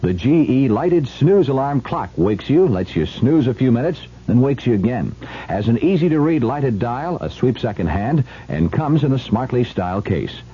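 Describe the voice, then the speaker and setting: A man's voice: medium pitch, big pitch swings, moderate pace, mellow, slight background noise. A man reading a scripted 1970s radio commercial as an announcer. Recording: muffled vintage radio recording.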